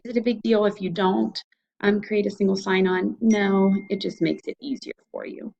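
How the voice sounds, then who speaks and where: A woman's voice: mid-range voice, fairly even intonation, moderate pace, creaky, mellow, highs cut off. A woman with an Ohio Valley American accent, an instructor talking students through an online course in an informal, conversational, explanatory way. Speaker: college instructor. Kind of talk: informal screencast course walkthrough.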